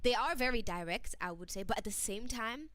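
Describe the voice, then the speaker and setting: A woman's voice: fairly high pitch, animated, brisk, a bit bright, dry recording. A woman hosting a casual livestream, chatting away with plenty of "like" and "you know" and reading out viewer messages. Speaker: stream host.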